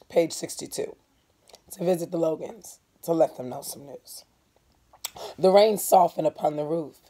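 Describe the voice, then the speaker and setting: Casting: woman teacher-narrator, hoarse and dark-toned, emphatic, deliberate delivery of a dramatic book reading. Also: lowish voice. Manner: deliberate, emphatic, pausing on key words. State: hoarse from laryngitis or a cold. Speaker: teacher reading a novel aloud.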